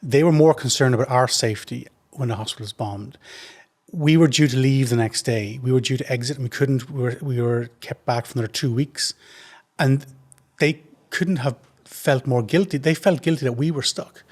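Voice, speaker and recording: An Irish man of mid pitch speaks as a trauma surgeon in an interview. He talks in an earnest, measured way and often restarts his sentences as he thinks them through. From Ireland. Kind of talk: reflective interview answers.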